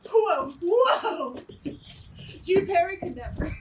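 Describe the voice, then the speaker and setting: A woman's voice: very high voice, big pitch swings, takes it slowly, noisy background. A woman bantering casually and jokingly as she directs an amateur web series, often giggly. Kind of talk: casual behind-the-scenes banter. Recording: echoey, distant microphone.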